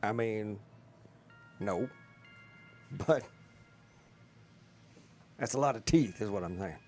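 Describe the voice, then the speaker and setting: A man's voice: on the low side, animated, slow pace, a little mellow, faint music behind. A man with a wry, joking manner, bantering casually as a player in a tabletop roleplaying game.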